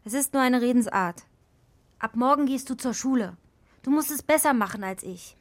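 A woman plays a forest fairy in a children's radio play. She has a fairly high voice and a blunt, bossy, matter-of-fact manner with a touch of theatre. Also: normal speed, natural voice. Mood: dry, stern. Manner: dry, matter-of-fact, theatrical when casting spells.

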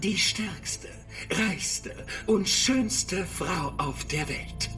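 An actress playing a fawning lifestyle coach to a villainous boss in a children's radio play, flattering and showy. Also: female voice, fairly low pitch, extremely slow. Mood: soothing, flattering. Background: music.

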